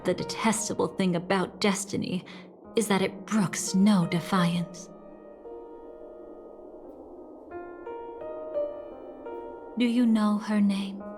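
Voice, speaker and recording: An actress playing a composed, calculating woman in a video game, speaking in a measured, watchful way. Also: medium pitch, steady tone, over music, close to an everyday voice. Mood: solemn. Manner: measured, deliberate. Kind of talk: scripted video game voice acting.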